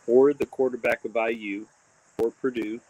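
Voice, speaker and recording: A man's voice: mid-range voice, fairly even intonation, moderate pace, a little mellow, no room sound, rough recording. A man hosting a casual live stream, rambling with lots of fillers and restarts, with crickets chirping behind him. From born in Texas, raised mostly in Indiana. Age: adult with a daughter. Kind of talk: casual rambling livestream chat.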